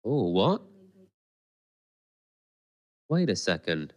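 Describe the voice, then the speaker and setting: A man co-hosting a podcast, giving casual, chatty, excitable running commentary as he watches an episode. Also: on the low side, drawn-out words. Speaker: podcast co-host.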